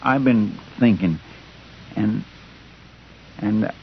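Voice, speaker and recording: A folksy american deputy, gravelly, stammering and eager to please, hissy old radio western. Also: male voice, on the low side, slow, dull audio. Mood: timid, apologetic. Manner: hesitant, deferential, stammering. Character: meek, loyal, folksy deputy sidekick. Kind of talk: 1950s radio western acting.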